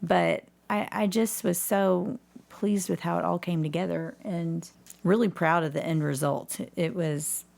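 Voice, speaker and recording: A woman's voice: fairly low pitch, steady tone, measured pace, gravelly, darkish tone. A woman speaking as an enthusiastic guest in a casual interview about her own work. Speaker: podcast guest, community theatre actor and director.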